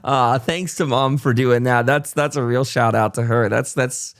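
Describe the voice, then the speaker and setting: A man's voice: medium pitch, a little slow. An American man hosting a podcast, chatting casually in a wordy, rambling, conversational way. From United States. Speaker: talk show podcast host. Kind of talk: casual interview chat.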